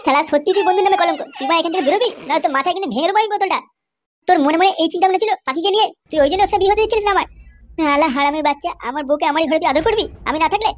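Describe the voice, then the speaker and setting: Voice actor doing a heartbroken cartoon guy, really high squeaky voice, whiny and sweary, rattles words out fast. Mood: drunk angry. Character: lovesick young man, tearful then drunk and angry. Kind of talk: cartoon voice acting.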